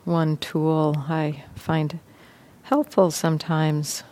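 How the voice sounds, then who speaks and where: A woman teaching meditation, guiding a sitting practice in a gentle, unhurried way with long pauses. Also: deep voice, little pitch movement. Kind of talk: guided meditation instruction.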